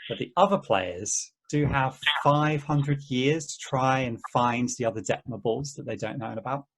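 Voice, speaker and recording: A man reading the part of an excitable, exasperated guy recounting mishaps in casual conversation at an amateur play table read. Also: medium pitch, normal speed, a little hiss or hum, close to an everyday voice, low-quality recording. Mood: matter-of-fact.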